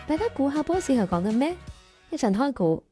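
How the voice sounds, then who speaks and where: A woman's voice: sits high, very animated, brisk, loud music behind the voice. A woman playing an eager, anxious junior employee who also presents a comedic workplace radio drama. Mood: instructive.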